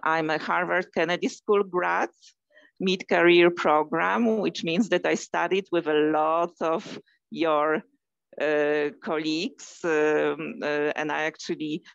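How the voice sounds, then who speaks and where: A woman's voice: deep voice, gravelly, dry recording, muffled recording. A woman with a Polish accent giving a friendly, hesitant welcome as a course facilitator, with many fillers. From Poland. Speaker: learning facilitator. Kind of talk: recorded course welcome message.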